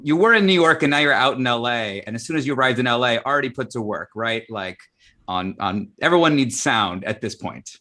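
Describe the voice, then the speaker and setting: A man's voice: medium pitch, sharp tone. A middle-aged American man hosting a live chat show in a casual, enthusiastic way. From Arizona, United States. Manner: enthusiastic, informal. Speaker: talk show host.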